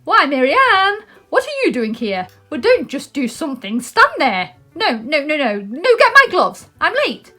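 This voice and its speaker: British lady doing a panicky fussy boy rabbit from a storybook, really high and flustered. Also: wildly swooping pitch, pushed and bright, exaggerated voice. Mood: flustered, bossy. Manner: hurried, flustered exclamations.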